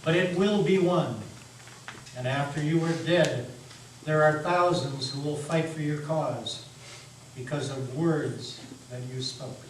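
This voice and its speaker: Male reader as the devil, grim smooth-talking stranger for a stage reading, slightly high, ominous, in an echoey hall. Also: slow. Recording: echoey hall, distant mic, faint noise.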